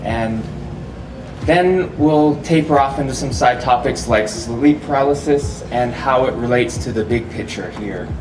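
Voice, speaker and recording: A man gives a relaxed, chatty talk to a live festival crowd and often laughs between points. His voice sits at an everyday middle pitch and comes from a fair way off the microphone. The room is echoey and carries a steady hum of noise. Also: a little slow. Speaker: festival speaker. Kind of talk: live public talk to an audience. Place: festival venue with a live audience. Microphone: handheld or stand microphone, often held far off. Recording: roomy echo, distant mic, audible hall noise.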